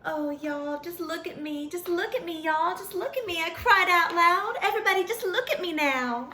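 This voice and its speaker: A woman voicing an excitable, vain, dreamy female pony, telling her story to children in a gushing, folksy, dramatic way. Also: very high voice, normal speed, slight background noise. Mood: excited, gushing. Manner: gushing, dramatic, folksy, addresses listeners as y'all. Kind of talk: animated first-person storytelling for children.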